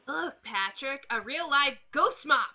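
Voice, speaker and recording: An actor voicing an excitable, naive young male cartoon sea sponge, eager and childlike, in a script reading. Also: sits high, measured pace, muffled recording, crowd noise.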